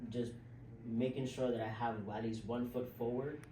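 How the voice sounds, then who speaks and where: A young man from the South Bronx, with Spanish as his first language, talks through his answers in an easygoing, chatty way and laughs often. His voice sits at a middle pitch. He is recorded a little off-mic in an echoey room with steady background noise. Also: normal speed, distant mic. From South Bronx, New York. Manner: relaxed, chatty, many "like" fillers, laughs often. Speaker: interview guest, healthcare worker. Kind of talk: casual interview answers.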